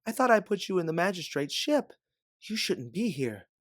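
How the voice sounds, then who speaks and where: A man's voice: sits high, big pitch swings, normal speed, a bit bright, dry recording, clean studio sound, pitched above the performer's own voice. A man voicing a resolute, protective father and resistance fighter, urgent and commanding. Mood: alarmed.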